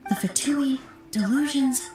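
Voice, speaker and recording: A woman voicing a composed, analytical young investigator in a video game, measured and precise. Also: sits high, mellow, soft background music. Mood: pensive. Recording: roomy echo.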